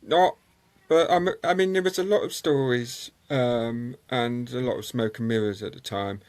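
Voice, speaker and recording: A man recounts racing history in a loose, meandering way, filling his pauses with ums and uhs. His voice sits at an ordinary middle pitch for a man. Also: a little mellow. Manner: rambling, many ums and uhs, anecdotal. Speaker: motorsport historian guest. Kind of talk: conversational interview storytelling.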